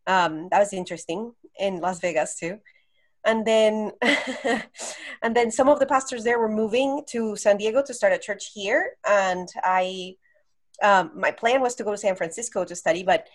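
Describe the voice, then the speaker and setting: A woman in her thirties from Colombia, a podcast guest, recounting her path in an earnest, reflective way with many pauses and ums. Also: medium pitch. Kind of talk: casual interview storytelling.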